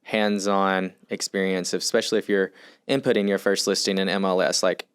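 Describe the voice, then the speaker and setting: A man's voice: low-pitched, steady tone, normal speed, clean tone, no room sound, clean studio sound. A man who works as a real estate agent, a podcast guest, explaining in an earnest, step-by-step way. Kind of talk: casual podcast conversation.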